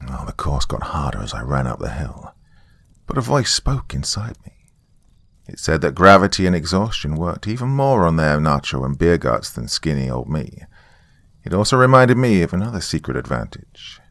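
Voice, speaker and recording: A man's voice: a bass voice, a bit bright, no echo. A man reading a scripted first-person story as a dramatic narrator. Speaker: narrator of horror stories.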